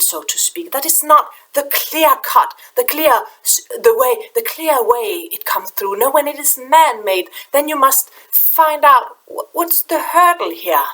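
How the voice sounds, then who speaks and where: Danish woman, soft halting spiritual talk. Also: a little high, low-quality recording. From Denmark. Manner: soft, halting, repeats words while searching for them. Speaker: spiritual channeler. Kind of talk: casual spiritual vlog talk. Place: small room indoors. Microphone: webcam in front of her.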